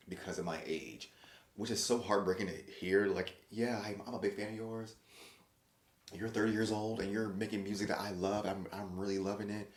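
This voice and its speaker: A man hosting a solo podcast, talking casually in a rambling, chatty way with many restarts and lively quoted voices. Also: deep voice, echoey room. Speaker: podcast host.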